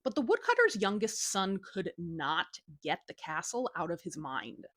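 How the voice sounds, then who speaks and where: A woman's voice: fairly low pitch, very animated, moderate pace, a bit bright, no echo, studio quality. A woman retelling a folktale as a storyteller, in a casual, cheeky and informal way from a script. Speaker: storyteller, host.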